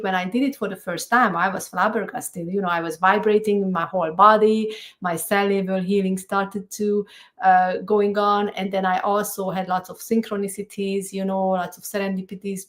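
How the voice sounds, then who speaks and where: A middle-aged woman with a Hungarian accent talks as an interview guest. She is earnest and chatty, trailing into fillers and restarts, and her sentences wander loosely. Her pitch sits in the ordinary middle for a woman. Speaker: guest healer. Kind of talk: conversational interview.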